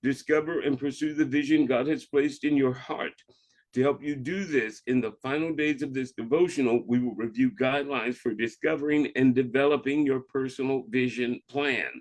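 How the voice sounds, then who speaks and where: A man speaking as a reverend, reading scripture and devotional reflections in a measured, deliberate way. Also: medium pitch, fairly even intonation, poor audio.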